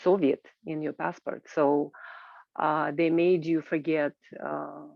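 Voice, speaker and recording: A woman from Kazakhstan speaks hesitantly as an invited panellist, pausing often with filled pauses, on a muffled video call. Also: low voice, level tone, gravelly, no room sound. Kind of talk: informal spoken presentation at an online roundtable. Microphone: video call microphone. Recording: muffled, band-limited video call audio.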